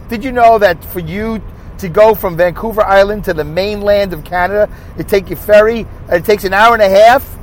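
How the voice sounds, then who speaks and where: Chatty Brooklyn man podcasting from his car. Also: high voice. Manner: chatty, self-mocking, rhetorical questions to himself. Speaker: podcast host. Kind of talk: rambling solo podcast monologue. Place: inside a car while driving on a highway. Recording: faint road noise in a car. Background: road and car noise.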